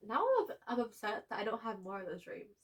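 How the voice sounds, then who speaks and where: A young woman co-hosting a podcast, telling stories in animated casual chat. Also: medium pitch, brisk. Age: young adult. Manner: animated storytelling with frequent laughs. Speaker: podcast co-host.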